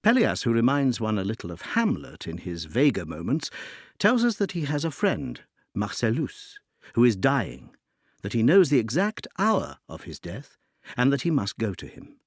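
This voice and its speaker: A man reading scripted narration that introduces an opera, in an expressive, literary storytelling manner. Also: mid-range voice, normal speed, dry recording, clean studio sound.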